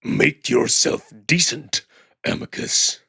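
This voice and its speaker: A man voicing a furious old wolf emperor, husky and gravelly, barking slow imperious orders in a visual novel. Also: pushed and bright, dry recording, clean studio sound.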